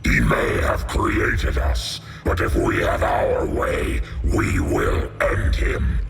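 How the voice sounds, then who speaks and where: A man voicing a menacing alien symbiote monster for a video game, in a deep gravelly growl with layered monster processing. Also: wildly swooping pitch, slow pace, very noisy, exaggerated voice. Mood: grim, menacing. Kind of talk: video game character acting. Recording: long reverb, music behind.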